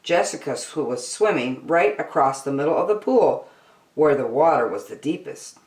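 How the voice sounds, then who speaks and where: A woman reading a children's picture book aloud as host, deliberately phrase by phrase, in an echoey room. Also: sits low, expressive, a little mellow. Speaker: storytime host and reader. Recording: roomy echo.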